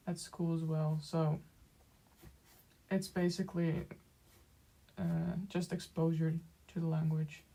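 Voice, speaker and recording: Soft, slow-talking young woman with a Dutch accent. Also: low voice, creaky. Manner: soft-spoken, halting, many fillers and small laughs. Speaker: streamer. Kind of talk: casual chat while drawing on stream.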